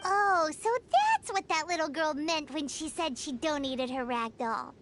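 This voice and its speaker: A woman voicing a small, bubbly, curious childlike fairy companion in a video game, squeaky and exclamatory. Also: sing-song, unhurried, a bit bright, slight background noise, full character voice. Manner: speaks of self in third person, exclamatory. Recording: music behind the voice.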